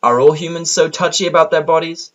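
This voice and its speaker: A man's voice: on the high side, fairly even intonation, a little fast, fairly bright tone, highs cut off, voice pushed up, close to an everyday voice. A man voicing a brash, playful female pegasus pony in an audio story, teasing and smug. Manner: teasing, exuberant.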